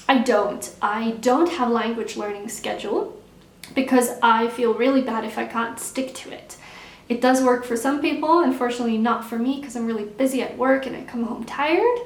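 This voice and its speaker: A young woman vlogger chatting casually while answering viewer questions, relaxed and repeating words for emphasis. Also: on the high side, normal speed. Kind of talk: casual Q&A chat. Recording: echoey room.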